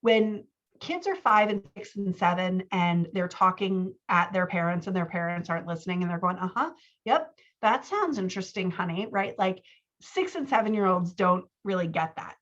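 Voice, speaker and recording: Low-pitched woman coaching, slightly dull audio. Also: expressive, moderate pace, a bit bright. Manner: informal, explanatory, acts out example lines. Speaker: writing coach. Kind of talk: one-on-one writing feedback, explaining edits.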